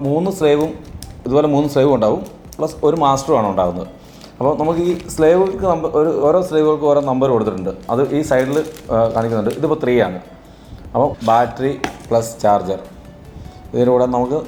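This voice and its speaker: A man's voice: mid-range voice, dark tone, slight background noise. A man presents a product review, explaining and rephrasing as he demonstrates. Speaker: product reviewer for a camera store. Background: background music.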